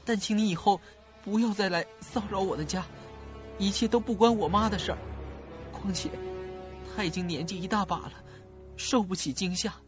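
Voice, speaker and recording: An actor playing a guilt-ridden, bitter student in a suspense radio drama, with halting dramatic delivery. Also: male voice, sits high, natural voice. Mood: pleading, fearful. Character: guilt-ridden, bitter student living with mother, haunted by calls. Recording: dull lo-fi audio, faint music.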